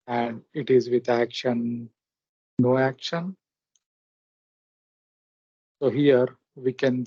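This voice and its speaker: A man narrating a software tutorial step by step, speaking haltingly in short phrases. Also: medium pitch, no room sound. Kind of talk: step-by-step screen demonstration narration. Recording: dull, lo-fi close microphone recording.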